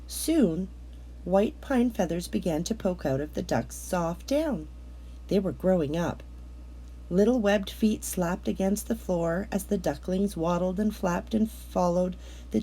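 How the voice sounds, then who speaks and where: A woman reading a children's picture book aloud as a narrator, in a measured, expressive storytelling manner with drawn-out words. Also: on the low side, slightly rough, poor audio.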